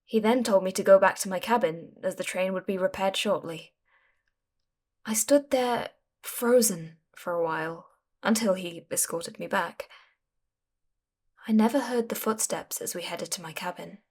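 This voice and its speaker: A woman playing a shaken young caller, recounting an eerie encounter in measured, suspenseful storytelling with dramatic pauses. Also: medium pitch, natural voice. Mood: frightened, tense. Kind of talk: suspenseful scripted ghost storytelling.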